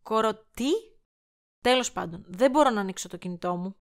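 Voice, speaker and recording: A woman's voice: mid-range voice, natural voice. A woman playing a flighty, cheeky and dramatic young woman in an amateur audio drama, rambling and sarcastic. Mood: chatty, sarcastic.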